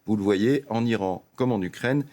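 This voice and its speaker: A man's voice: fairly low pitch, moderate pace, dark tone. A man with a French accent from France giving explanatory political news analysis as a commentator, emphatic and addressing his listeners directly.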